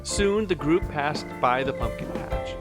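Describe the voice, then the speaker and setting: A storybook narrator with a mid-range voice reads a scripted children's tale. Music plays clearly underneath the read-along. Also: mid-range voice, animated, unhurried.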